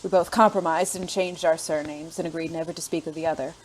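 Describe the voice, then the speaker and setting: Against noticeable background noise, a woman plays a refined former spy in an audio drama. The voice is fairly low, the phrasing formal and halting, with a secretive, courteous air. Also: steady tone, lightly acted, poor audio. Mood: composed, regretful.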